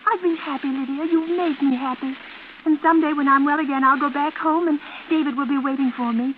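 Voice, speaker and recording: A very high, girlish voice reaches us through a tinny, slightly noisy old radio recording. It is an actress as a fragile, unbalanced woman, childlike and plaintive, in a vintage suspense play. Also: steady tone, fairly quick, natural voice. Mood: wistful. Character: fragile, childlike, pleading mad twin sister.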